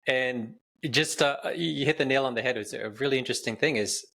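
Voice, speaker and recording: A man's voice: medium pitch, fairly quick, gravelly, sharp tone. A man hosting a podcast interview, asking long, conversational questions. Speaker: podcast host.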